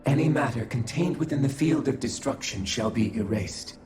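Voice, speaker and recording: A man's voice: mid-range voice, moderate pace, a little mellow, a little hiss or hum, close to an everyday voice. A man voicing a cold, clinical observer. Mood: detached. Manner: formal, detached, clinical. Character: cold calculating male observer entity. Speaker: game character. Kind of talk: video game cutscene acting. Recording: echoey, with game music behind.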